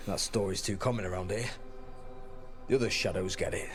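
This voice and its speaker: An actor playing a guarded, self-deprecating soldier turned clerk in a video game, with a wry, understated delivery. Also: male voice, low voice, moderate pace, soft background music, lightly acted. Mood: wistful, weary.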